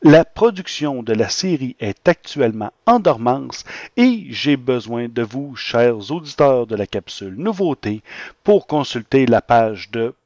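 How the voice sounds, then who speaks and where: A man hosting a podcast segment and interview, with florid, earnest and deliberate phrasing. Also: medium pitch, big pitch swings, darkish tone, no room sound. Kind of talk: scripted podcast presentation and informal interview. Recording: slightly dull, dry recording.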